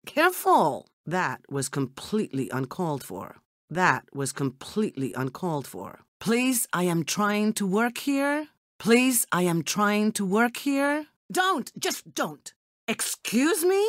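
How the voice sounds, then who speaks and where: A cool female double agent for an animated game. She is poised and wry, with lively swings in pitch and an unhurried delivery. The sound is dry and clean. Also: dry recording. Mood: indignant. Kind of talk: animated video game voice lines.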